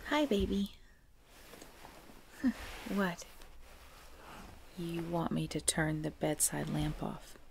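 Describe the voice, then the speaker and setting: A woman playing a cute, easily scared girlfriend in an intimate ASMR roleplay, pleading and whiny, often hushed. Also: low-pitched, slow, vocal fry, pauses often, a little hiss or hum, heavily acted. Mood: coaxing. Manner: pleading, whiny, dropping into hushed self-talk. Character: cute, jumpy girlfriend frightened by scary movies. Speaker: roleplay audio performer.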